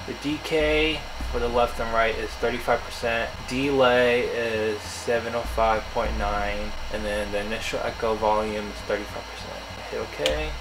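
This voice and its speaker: A young man with a bassy voice, talking through a home music tutorial in a relaxed, slangy, self-deprecating way, recorded in a small room at home. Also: very noisy. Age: young adult. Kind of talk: casual screen tutorial.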